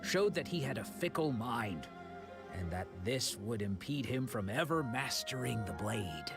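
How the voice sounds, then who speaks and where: An actor voicing a proud older male swordsman in a game, telling of his old training days in a measured, reflective way. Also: mid-range voice, animated, creaky. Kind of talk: video game voice acting. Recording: game audio under background music.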